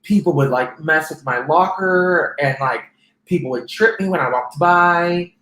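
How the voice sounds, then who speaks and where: A man in his thirties with a soft, feminine-sounding voice, telling his life story as an interview guest, with frequent restarts. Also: a little high, a little slow, roomy sound. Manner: rambling, many restarts and fillers. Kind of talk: personal testimony in conversation.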